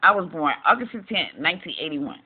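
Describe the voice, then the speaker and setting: A man's voice: a little high, expressive, brisk, breathy edge. A man in his forties chatting casually, blunt and informal, on a narrow phone-like recording. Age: 40s. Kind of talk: casual candid chat, reacting to a video. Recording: narrow phone-like sound with slight noise.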